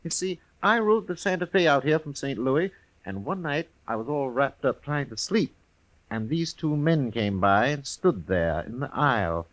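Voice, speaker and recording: Man as eccentric Old West drummer: medium pitch, insistent, rambling, ominous. Classic radio western style. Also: expressive, moderate pace, lightly acted, poor audio. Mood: earnest, secretive. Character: odd, insistent traveling whiskey salesman in the Old West. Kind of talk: 1950s radio western acting.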